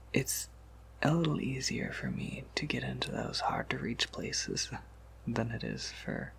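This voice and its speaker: A man playing an ancient, courteous vampire apothecary keeper in an ASMR roleplay, in a breathy, gravelly whisper with a hesitant, unhurried manner. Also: mid-range voice, exaggerated voice. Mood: quietly confiding. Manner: hesitant, unhurried, softly chuckling asides.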